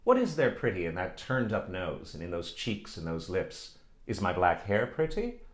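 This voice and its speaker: A man's voice: low-pitched, sing-song, moderate pace. A man voicing a vain, teasing young village beauty who admires herself and mocks her suitor. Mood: musing. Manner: coquettish, haughty, admiring herself.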